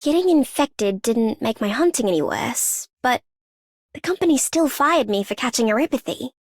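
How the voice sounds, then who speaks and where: A fairly high young woman's voice for a frank huntress in a video game. She sounds content and plainspoken, recorded dry and close. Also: expressive, normal speed, dry recording. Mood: frank, hopeful. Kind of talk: scripted game character voice lines.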